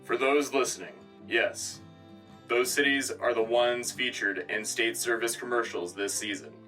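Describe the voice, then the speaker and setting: A man's voice: medium pitch, fairly even intonation, a little slow, pushed and bright, recorded from a distance, soft background music, rough recording. A man playing an earnest radio news anchor of a future Earth, reading scripted satirical war news in a polished, official manner. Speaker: radio co-host.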